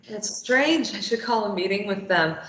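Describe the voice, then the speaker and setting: A woman's voice: medium pitch, moderate pace, close to an everyday voice. A woman voicing a sarcastic, defiant young woman held captive, reading in a table read. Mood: sardonic. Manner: sarcastic, deadpan retorts. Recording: echoey lo-fi recording.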